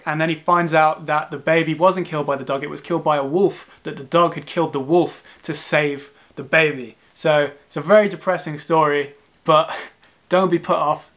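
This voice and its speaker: A man's voice: a little high, fairly bright tone. A young man in his twenties talking casually to the camera from his living room sofa, chatty and self-deprecating. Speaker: travel vlogger. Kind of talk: casual travel vlog talk.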